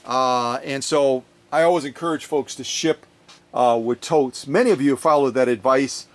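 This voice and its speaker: A man chatting casually in a vlog, telling a rambling story in an animated way as he gets ready to unbox a sewing machine in his workshop. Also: medium pitch, moderate pace. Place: workshop, recording his own video.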